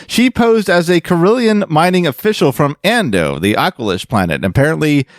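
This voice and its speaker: A man's voice: a little high, very animated, fairly quick, no room sound, clean studio sound. A man hosting a fan podcast, telling a story casually in a rambling way that often corrects itself. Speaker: podcast host.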